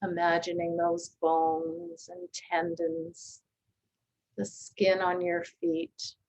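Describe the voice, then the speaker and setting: A woman leading a guided body scan meditation, speaking gently and calmly with long, unhurried pauses. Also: fairly low pitch, creaky, low-quality recording. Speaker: meditation teacher.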